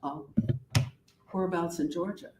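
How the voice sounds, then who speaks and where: A man's voice: high voice, sing-song, measured pace, gravelly, a little mellow. A man moderating a live event, upbeat and welcoming, laughing often as people introduce themselves. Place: meeting room at a live event. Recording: echoey room from a distance, faint hiss.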